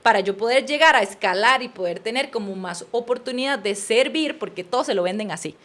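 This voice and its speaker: A woman in her thirties with a Costa Rican accent, a podcast guest telling her life story in an earnest, animated way. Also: fairly high pitch, fairly quick. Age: 30s. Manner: earnest, animated, addresses listener as usted. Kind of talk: personal storytelling in conversation.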